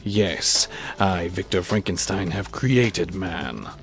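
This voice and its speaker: A man's voice: deep voice, lively intonation, takes it slowly, over music, heavily acted. A man playing an obsessed, arrogant scientist in a grandiose, theatrical amateur audio drama. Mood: grandiose, exultant. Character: obsessed, arrogant scientist who creates a monster.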